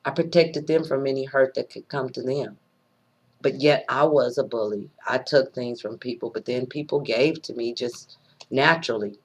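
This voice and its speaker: A woman with a rough voice giving an earnest, preachy monologue, pausing often and repeating her words as she thinks aloud. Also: very deep voice. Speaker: vlogger sharing personal views. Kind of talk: unscripted spoken monologue.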